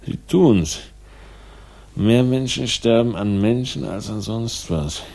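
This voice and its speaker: Wry battered crime hero, low gravelly voice, unhurried, terse, dry irony, radio play. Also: male voice, darkish tone. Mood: weary, dry. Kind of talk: 1960s radio crime drama acting.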